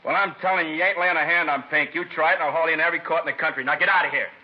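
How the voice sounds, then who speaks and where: A man's voice: on the high side, fairly even intonation, very fast, lightly acted. An actor playing a belligerent, protective middle-aged father with combative, slangy talk in an old radio crime drama. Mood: angry. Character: belligerent, protective father of a teenage gang leader. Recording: muffled old radio recording, faint noise.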